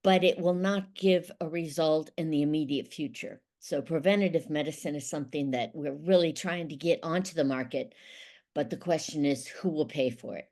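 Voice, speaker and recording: A woman's voice: deep voice, moderate pace, a little mellow. A middle-aged woman from Ohio, explaining things methodically as an interview guest. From Ohio, United States. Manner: explanatory, methodical, occasional restarts. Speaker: interview guest, business consultant. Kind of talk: conversational interview.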